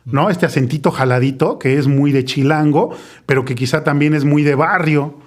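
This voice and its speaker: A man's voice: fairly high pitch, steady tone, normal speed. A man in his forties with a Mexico City accent, hosting a casual chat and wandering off on tangents, often tagging his points with a question. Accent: Mexico City Spanish. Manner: chatty, digressive, tags phrases with "¿no?". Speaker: podcast host.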